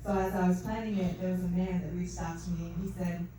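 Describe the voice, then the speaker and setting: A soft-spoken woman giving an earnest, faith-filled talk at an event, recorded from a distance in a large hall. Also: lowish voice, fairly even intonation, fast talker. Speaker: event speaker. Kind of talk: testimony talk. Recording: distant mic, echoey hall, faint noise.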